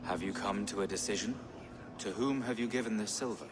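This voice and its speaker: A man's voice: lowish voice, fairly quick, lightly acted. A man playing a pious, pleading, anxious bishop in a video game, earnest and formal. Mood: dismayed. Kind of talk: dramatic video game acting. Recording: reverberant hall, faint noise.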